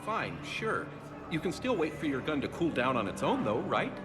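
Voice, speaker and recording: An actor playing an awkward, eager human fan in a video game cutscene, talking in a nervous, rambling way. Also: male voice, on the high side, big pitch swings, normal speed, music in the background. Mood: flustered.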